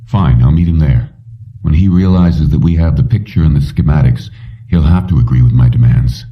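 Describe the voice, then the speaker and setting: A man voicing a determined, self-assured male fugitive in an audiobook, measured and decisive. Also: rumbling low. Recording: muffled, dull, distant-sounding.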